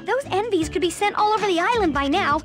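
A woman playing a clever, determined young bandicoot, brisk and matter-of-fact, in a cartoon video game. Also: very high-pitched, animated, over music.

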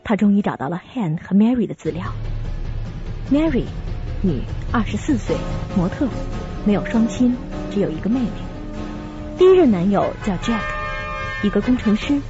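A woman's voice: medium pitch, big pitch swings, moderate pace, pauses often. Female drama narrator, slightly dull sound. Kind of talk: scripted radio drama narration. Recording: dull lo-fi sound, music behind.

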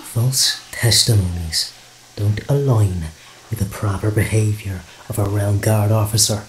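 An actor playing a smooth, intimidating secret agent in a science fiction audio drama. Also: male voice, on the low side, fairly even intonation, unhurried, background noise, lightly acted. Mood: menacing. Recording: clear crowd murmur behind.

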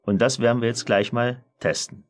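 A man presenting a software screencast tutorial, explaining methodically step by step in a semi-spontaneous walkthrough. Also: mid-range voice, fairly even intonation, moderate pace.

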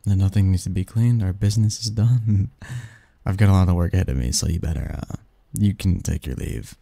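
A man playing a snarky young research assistant who fondly teases a friend in a halting, wordy, intimate ASMR roleplay monologue. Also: sits low, creaky, mellow, no room sound. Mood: wry, casual. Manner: halting, sighing, teasing, wordy.

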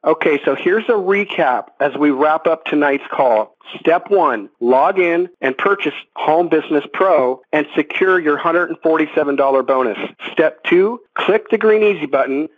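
A man hosting a sales conference call, giving a direct, persuasive scripted pitch with rhetorical questions. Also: moderate pace, few pauses, slightly rough. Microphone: telephone conference line. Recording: narrow tinny phone-line sound.

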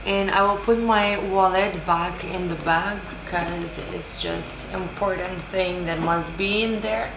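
A woman's voice: fairly low pitch, steady tone, unhurried, gravelly, hardly any pauses, noisy background. A woman in her twenties who is not a native English speaker, chatting casually to the camera as a vlogger in a room. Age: 20s. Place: small room indoors, seated at desk. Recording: roomy echo under background music. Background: background music.

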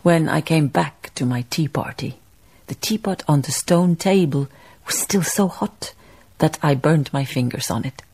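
A reflective woman memoirist narrates a radio play. Her voice is deep for a woman and husky, with a gravelly creak. She speaks lyrically and deliberately, lingering on poetic phrases. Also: darkish tone, exaggerated voice. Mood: rapt, nostalgic. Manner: measured, lyrical, savouring phrases. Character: reflective, poetic woman memoirist recalling colonial farm life.

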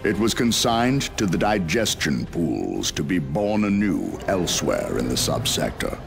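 A man acting a grave, ominous storyteller of alien war in a video game, slow, solemn and portentous. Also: deep voice, sing-song, creaky, music in the background, heavily acted. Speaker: narrator. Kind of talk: grave scripted game narration.

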